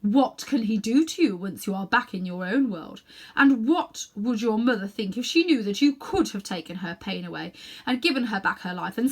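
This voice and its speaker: A woman voicing a fierce, scornful witch who coaxes and tempts insistently in a children's storybook. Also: medium pitch. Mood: scornful accusing. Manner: imperious, insistent persuasion.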